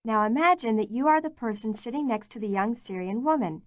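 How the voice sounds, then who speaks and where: A woman with a slightly raised pitch reads course prompts in a clear, measured manner. The sound is close and dry, with no room in it. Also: lively intonation, no echo. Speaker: language course narrator. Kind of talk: scripted instructional narration.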